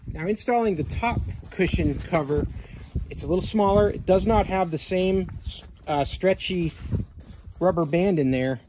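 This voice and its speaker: Man, casual how-to host, plain-spoken, relaxed, outdoors, phone-recorded. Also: high-pitched, a little slow, noisy background. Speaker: channel host. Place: outdoors beside a utility vehicle.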